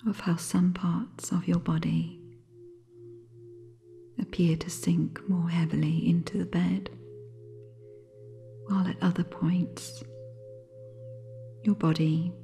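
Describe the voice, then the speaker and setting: A woman guiding a sleep meditation in a soothing, gentle, unhurried way, with a soft, breathy, half-whispered voice. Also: lowish voice, flat delivery, slight background noise. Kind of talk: guided sleep hypnosis meditation, scripted.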